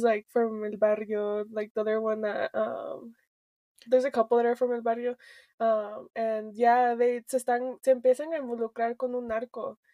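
A woman's voice: fairly high pitch, darkish tone, no echo. A young woman co-hosting a podcast in casual chat, rambling with lots of fillers, swearing freely and switching between English and Spanish. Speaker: podcast co-host.

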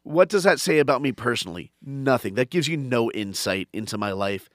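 A man who hosts a comedy podcast, telling stories in animated casual chat and acting out crowd voices. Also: mid-range voice, brisk, no room sound, clean studio sound. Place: podcast studio.

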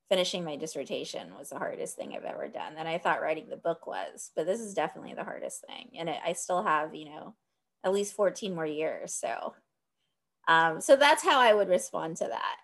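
A woman's voice: fairly low pitch, fairly bright tone. 30s woman from texas, academic guest, thoughtful, um-heavy, laughs a bit. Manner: thoughtful, lots of ums, laughs easily. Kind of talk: interview answers.

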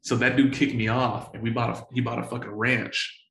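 Gravelly, slangy man telling stories. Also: mid-range voice, fairly quick, roomy sound, a little hiss or hum. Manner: slangy, profane, excitable, repeats himself. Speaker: entrepreneur guest. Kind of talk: casual storytelling interview.

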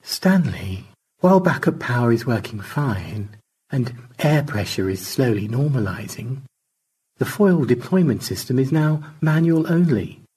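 A man voicing a sweet female AI in a storybook, polite, precise and gently flirtatious. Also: mid-range voice, very animated, a little slow, slightly rough, pitched above the performer's own voice, full character voice. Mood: businesslike. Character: sweet, charming female AI on a screen, coolly technical.

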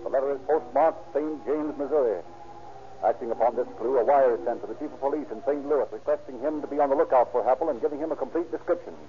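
A man narrating a 1930s radio crime drama in a formal, declamatory manner with deliberate phrasing. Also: mid-range voice, a little hiss or hum, lightly acted. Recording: narrow old radio recording, loud music behind.

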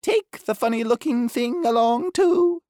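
A man voicing a fussy, bossy female duck housekeeper in a storybook reading, shrill and scolding. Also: unhurried, a little mellow, dry recording, clean studio sound.

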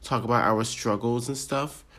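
A man's voice: mid-range voice, fairly even intonation, normal speed, a bit bright. Rambling, giggly nineteen-year-old guy. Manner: rambling, self-deprecating, lots of ums and giggles. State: sick, voice a bit off. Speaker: podcast host. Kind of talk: casual solo podcast intro.

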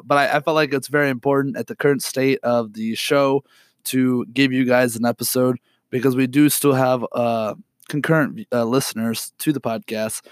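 A young man hosting a podcast alone, sick and hoarse, talking in an apologetic, rambling way with many fillers and throat clearing. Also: mid-range voice, dry recording, clean studio sound. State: sick with a raspy, cracking voice. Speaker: podcast host. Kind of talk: unedited solo podcast update.

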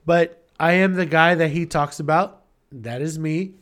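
Slow-talking playful young man. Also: a little high, fairly even intonation, a bit bright. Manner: easygoing, playful, drawn-out delivery. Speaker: podcast guest co-host. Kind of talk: casual chat.

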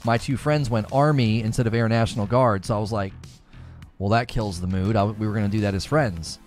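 A man's voice: fairly low pitch, a little fast, a little mellow, faint music behind. A man hosting a casual livestream, chatting with his brother and telling rambling stories. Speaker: streamer and show host.

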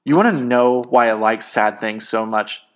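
A man's voice: medium pitch, fairly even intonation, a little fast, a little hiss or hum, close to an everyday voice. Man playing bitter arguing man, irritable, sarcastic, emphatic ranting, mid-range, dramatic story character. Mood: bitter, heated. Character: bitter, irritable, argumentative man in a breakup fight.

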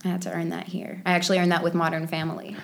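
A woman's voice: lowish voice, monotone, racing, vocal fry. A woman in her twenties, a native Polish speaker, telling personal stories casually and informally as an actress being interviewed. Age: 20s. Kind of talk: casual chat, personal storytelling.

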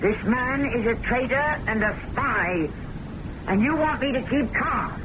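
A high-pitched, prim and insistent secretary in an old radio drama. She speaks with urgent emphasis and is easily agitated. The recording is old and clearly noisy. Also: animated, unhurried. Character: anxious, upset young woman secretary, insistent and prim.

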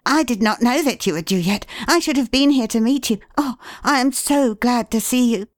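A woman voicing an excitable teenage boy who gushes eagerly over his father. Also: on the high side, normal speed, dry recording, clean studio sound, pitched above the performer's own voice, close to an everyday voice. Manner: eager, breathless, gushing.

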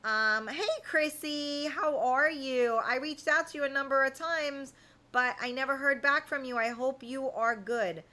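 A woman's voice: high voice, unhurried, pushed and bright. A woman in her fifties hosting a casual live chat, talking to viewers in a candid, blunt, colloquial way. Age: 50s. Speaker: host of a live chat show.

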